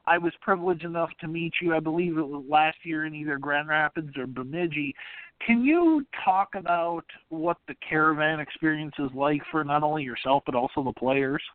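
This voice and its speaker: A man's voice: on the high side, normal speed, dry recording. An American man hosting a sports podcast, putting questions to a guest in a conversational way. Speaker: podcast host and interviewer. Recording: narrow, tinny phone-like sound.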